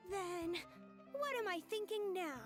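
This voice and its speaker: A woman's voice: very high voice, lively intonation, measured pace. A woman voicing an awestruck, gullible small child in a video game, with a childlike voice. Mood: awed. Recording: music behind the voice.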